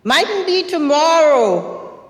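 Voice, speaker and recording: A woman playing a wronged, defiant Grenadian mother in a theatrical reading, speaking deliberately and repeating phrases like an incantation. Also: high voice, very animated, exaggerated voice. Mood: prophetic, resolute. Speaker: reader in a dramatized stage reading. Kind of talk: slow theatrical dramatic reading. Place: university recital hall stage. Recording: long hall reverb.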